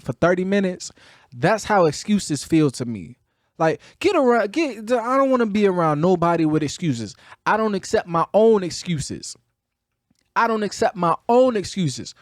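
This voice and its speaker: A man with a Chicago accent and a somewhat high-pitched voice speaks as a motivational podcast host. His delivery is colloquial and emphatic, close to preaching, with repeated phrases driven home. The sound is dry, with very little room echo. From Chicago, United States. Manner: emphatic, repetitive, colloquial, drawing out key words.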